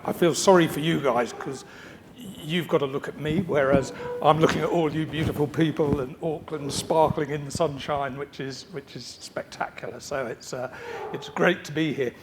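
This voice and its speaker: A man with a slightly croaky voice giving a chatty, self-deprecating conference keynote, full of ums and repeated words. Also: a little high, slight background noise. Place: conference stage.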